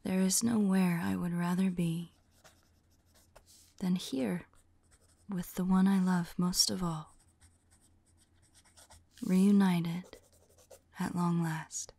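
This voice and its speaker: A woman playing a tender, devoted vampire lover in an intimate ASMR roleplay, speaking softly and affectionately, often in a whisper. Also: lowish voice, steady tone, no echo, exaggerated voice. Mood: loving. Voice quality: soft, breathy, often whispering. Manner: slow, wistful, affectionate.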